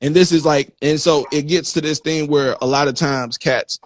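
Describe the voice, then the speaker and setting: A man hosting a casual interview, talking informally with slang in a halting way and often checking that he is followed. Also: a little high, fairly even intonation. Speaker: interviewer, magazine host.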